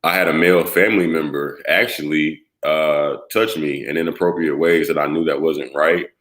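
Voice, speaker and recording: A man in his thirties from South Carolina with a very low voice. He speaks openly and in a relaxed way as an interview guest, now and then breaking into a laugh. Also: normal speed. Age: 30s. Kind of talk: casual interview.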